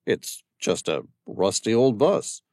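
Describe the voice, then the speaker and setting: Male reader as a probing stranger; calm, persistent, low-key and gravelly. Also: takes it slowly, no echo, clean studio sound, full character voice. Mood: curious. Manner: inquisitive, persistent questioning.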